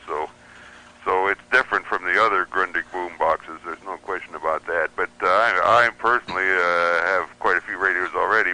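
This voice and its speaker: A man with a low, deep voice that carries a bright and forceful edge. He talks as a radio show guest, halting and restarting, with many fillers. The sound comes through as broadcast radio audio. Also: rough recording. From United States. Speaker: guest radio receiver reviewer. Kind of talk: casual interview chat.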